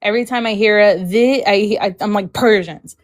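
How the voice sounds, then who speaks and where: A woman's voice: medium pitch, normal speed. A woman guest on a casual livestream chat, frank and rambling, often trailing off mid-thought.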